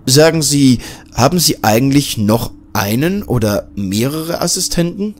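A man's voice: mid-range voice, lively intonation, unhurried, a little hiss or hum, lightly acted. A man voicing a curious male journalist in a self-made audiobook, asking probing questions with slight hesitations. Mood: questioning. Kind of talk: audiobook character voice.

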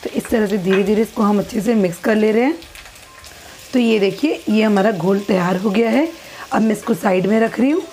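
Mellow-voiced woman walking through a recipe. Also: mid-range voice. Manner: unhurried, repetitive instructional talk. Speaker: cooking channel host. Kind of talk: step-by-step cooking demonstration. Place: home kitchen at a gas stove.

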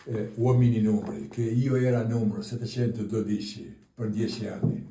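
A man in his sixties from Kosovo, a native Albanian speaker, presenting his own paintings in halting Italian full of restarts. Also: fairly low pitch, fairly even intonation, warm tone. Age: 60s. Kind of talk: casual exhibition talk. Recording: echoey room from far off, faint noise.

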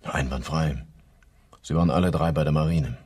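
Male local inspector for radio crime play: extremely low, rough-edged, businesslike. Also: steady tone, moderate pace, darkish tone. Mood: frank. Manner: plain-spoken, businesslike. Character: matter-of-fact male local police inspector. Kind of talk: German radio crime drama acting.

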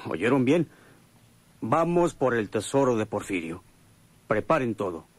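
A man's voice: mid-range voice, very animated, measured pace, dry recording. A man playing a weary, greedy boss who gives orders in a matter-of-fact way, in a radio drama. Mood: commanding. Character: weary, brisk boss of lawmen, greedy for treasure.

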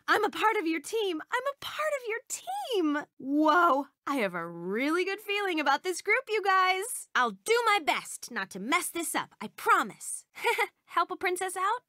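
A bubbly young princess from an animated video game. She is eager and self-conscious, with a highly animated delivery. Her pace is unhurried and the sound is close and dry. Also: dry recording, exaggerated voice. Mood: excited, then coaxing. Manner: perky, rambling, self-conscious.

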